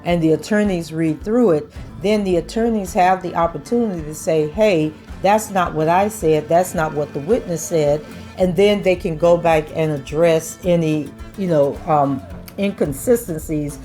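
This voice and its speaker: A woman's voice: fairly low pitch, normal speed, warm tone, faint music behind. A woman who is losing her voice, casually explaining court transcripts and reading testimony aloud. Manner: explains step by step, many "and so" links.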